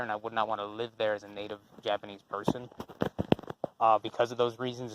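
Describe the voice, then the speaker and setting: A man's voice: fairly low pitch, fairly bright tone, poor audio. A young man giving an unscripted commentary response, rambling with many fillers and restarts and swearing casually. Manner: casual and profane. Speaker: channel host.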